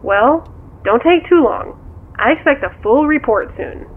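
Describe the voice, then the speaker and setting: An actress playing a curious, skeptical confidante in a naturalistic audio drama, questioning in a casual, dry way through a telephone filter. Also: female voice, sits high, animated, unhurried, slightly rough, full character voice. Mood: gently chiding. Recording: thin, tinny phone line.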